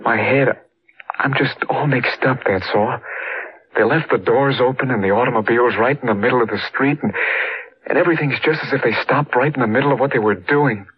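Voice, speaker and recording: An actor playing a bewildered, stubborn young husband from the country in an old-time radio drama, with a husky voice. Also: male voice, mid-range voice, brisk. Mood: bewildered, anguished. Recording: dull, lo-fi old radio recording.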